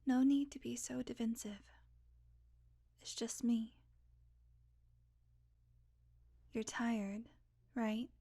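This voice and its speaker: Female vampire, woman actor, higher-pitched, unhurried delivery; sardonic, blunt, guardedly caring; intimate audio roleplay. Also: steady tone, gravelly, warm tone, heavily acted. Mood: soothing, hypnotic. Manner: sarcastic, blunt, coaxing, sighing, deliberate pauses.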